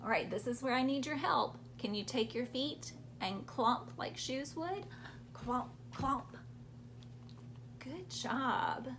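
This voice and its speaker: A woman storyteller reads to young children with a very animated, fairly high voice. She takes it slowly and invites listeners to join in, with faint noise in the recording. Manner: interactive, prompting children with questions and sound words. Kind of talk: expressive read-aloud storytelling for young children.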